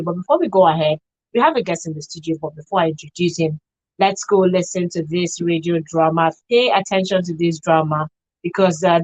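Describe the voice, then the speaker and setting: A woman's voice: lowish voice, no room sound, poor audio. A woman hosting a radio show, introducing the programme and its segments.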